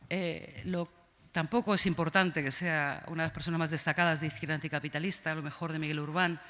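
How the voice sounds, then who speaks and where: A woman's voice: deep voice, sharp tone, in a large hall. A woman from Spain with a Peninsular Spanish accent, moderating a panel and introducing the speakers with emphatic praise and frequent fillers.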